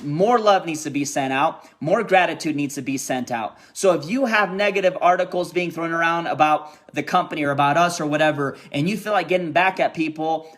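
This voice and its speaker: Emphatic young man with a fairly high voice. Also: fairly bright tone. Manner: emphatic and repetitive, keeps checking in with "okay?" and "right?". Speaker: network marketing team leader. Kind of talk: motivational pep talk.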